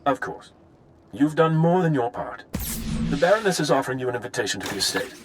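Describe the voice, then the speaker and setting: A man's voice: mid-range voice, expressive, fast talker, music in the background, close to an everyday voice. A man playing a dutiful, polite military captain in a video game, deferential and plain-spoken. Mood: brisk, respectful. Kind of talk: scripted video game drama acting.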